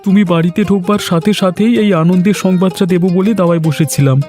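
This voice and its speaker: A man playing an earnest, modest young adult son in a village moral-story cartoon, speaking in a measured way. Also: high voice, steady tone, warm tone, soft background music, lightly acted. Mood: happy, proud.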